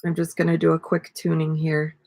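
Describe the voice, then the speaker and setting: Raspy gravelly lady chatting slow on a webcam in her camper, low-ish voice, dry sound. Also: dry recording. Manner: relaxed, rambling, self-deprecating. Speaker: vlogger and ukulele player. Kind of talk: casual live-stream chat. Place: small camper interior. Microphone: webcam at desk.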